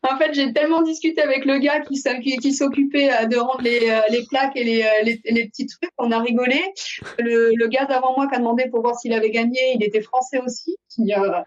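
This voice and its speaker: A woman's voice: a little high, fairly even intonation, a little fast, dull audio. A woman from France, a podcast guest, talking casually with many hesitations. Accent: Parisian French. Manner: hesitant, many fillers, laughs easily. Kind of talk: casual conversation.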